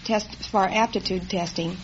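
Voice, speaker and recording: A woman's voice: mid-range voice, fairly even intonation, normal speed. A woman answering interview questions as a contestant, earnestly explaining things. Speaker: test bureau worker, show contestant. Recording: muffled old radio broadcast, faint noise.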